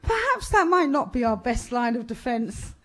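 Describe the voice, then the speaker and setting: A courteous, cautious gentleman lawyer with upper-class English speech, played by a woman storyteller. The voice is high, polite and understated. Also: big pitch swings, normal speed. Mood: doubtful.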